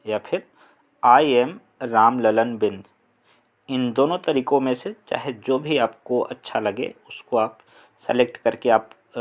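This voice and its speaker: A young man from India with a Hindi accent, explaining an English lesson slowly and deliberately, pausing on fillers. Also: medium pitch. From Uttar Pradesh, India. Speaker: English teacher.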